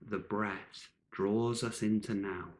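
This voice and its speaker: A man leading a guided meditation in calm, measured short phrases with long pauses between them. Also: lowish voice.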